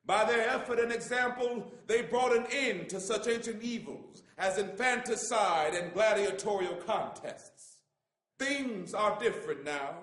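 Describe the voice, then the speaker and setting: Man, high voice, solemn impassioned oratory, measured reading, echoing room. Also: fairly bright tone. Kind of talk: oratorical reading of a famous letter. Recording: echoey room.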